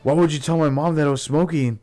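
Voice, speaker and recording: A man's voice: a little high, fairly even intonation, fast talker, mellow, faint music behind, voice pushed up, close to an everyday voice. A man voicing an indignant teenage schoolboy friend in a told story, slangy and full of swearing.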